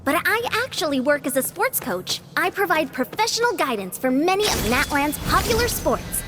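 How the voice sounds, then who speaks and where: A woman's voice: sits high, sing-song, moderate pace, a bit bright, faint music behind. A woman playing a spirited, confident young female warrior and coach in a video game, upbeat and self-assured. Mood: playful, confident.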